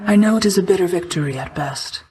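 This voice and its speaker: A woman voicing a solemn, regal night goddess and protective mother figure in a video game, speaking in a formal, measured, restrained way. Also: lowish voice, lively intonation, a little mellow, lightly acted. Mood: solemn, caring. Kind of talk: scripted video game character dialogue.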